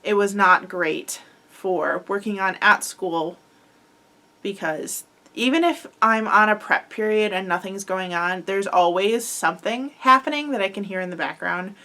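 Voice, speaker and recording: A young woman chats casually about her crochet projects with a slightly stuffy, head-cold sound. She rambles and fills pauses with "um" and "like". Her pitch sits mid-range for a woman. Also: bright, forceful tone. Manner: chatty, rambling, filler-heavy with frequent likes and ums. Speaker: crochet podcast host. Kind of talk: casual chat.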